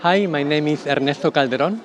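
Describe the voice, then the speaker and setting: A man from Chile, a festival participant, speaking in an interview in halting phrases, repeating words. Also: fairly high pitch, faint music behind, some chatter behind.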